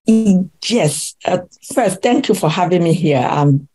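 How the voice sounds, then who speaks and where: A woman's voice: fairly low pitch, expressive, slow. An older woman from Cameroon, a guest relationship coach, talking in an interview with many fillers and restarts. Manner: hesitant, many fillers and restarts, laughs often. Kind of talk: interview conversation.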